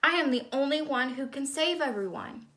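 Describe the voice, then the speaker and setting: Lady reading as a worried earnest school kid, boy hero in a storybook, bright voice. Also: sits high, expressive, moderate pace, voice pushed up. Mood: alarmed.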